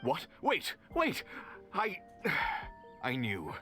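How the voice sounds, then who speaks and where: Video game merchant: belligerent, defensive, theatrical, with huge pitch swings over light background music. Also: male voice, wildly swooping pitch, very slow, pushed and bright, full character voice. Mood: flustered, cornered. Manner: indignant, theatrical, blustering when challenged. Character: defensive, belligerent male merchant accused of fraud. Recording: music behind the voice.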